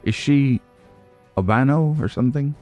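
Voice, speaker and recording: A man hosting a let's play with casual, musing commentary, as if thinking out loud to himself. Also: mid-range voice, moderate pace, soft background music. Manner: musing, nitpicking, talks to himself.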